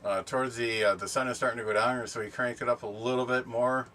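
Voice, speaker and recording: A middle-aged man talks off the cuff for a vlog, in a medium-pitched voice. He rambles and hesitates as he explains things. He sounds some way from the microphone, with faint noise behind him. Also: steady tone, fast, bright, forceful tone. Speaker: camping and ham radio vlogger. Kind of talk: casual unscripted vlog chat. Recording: distant mic, background noise.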